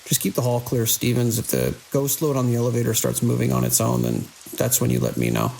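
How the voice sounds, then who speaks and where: A weary male security chief on night watch, played by an actor, with a gravelly voice and dry, measured delivery. It is audio drama, with a light layer of hiss and rain-like noise over it. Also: mid-range voice, lively intonation, darkish tone, poor audio. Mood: dry, commanding. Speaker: security lead. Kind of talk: audio drama, logs and radio dialogue.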